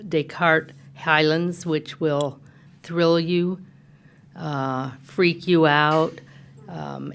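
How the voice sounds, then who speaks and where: A woman's voice: deep voice, gravelly, clear, resonant tone. A woman hosting an event, giving an informal, hesitant welcome with joking asides to an audience. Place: public event venue.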